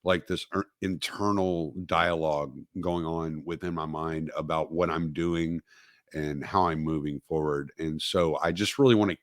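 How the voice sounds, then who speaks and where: A man hosting his own podcast, talking alone on a livestream in a halting way with many fillers and restarts, recovering from dental work. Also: a bass voice, fairly even intonation, no echo, clean studio sound. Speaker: podcast host. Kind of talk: solo livestream talk.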